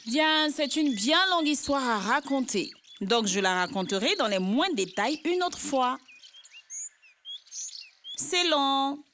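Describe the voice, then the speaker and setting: A woman voicing a friendly, eager young girl in a dubbed educational cartoon, explaining things earnestly and clearly. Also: sits high, animated, takes it slowly, a bit bright, slight background noise, exaggerated voice. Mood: cheerful, apologetic. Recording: music behind the voice.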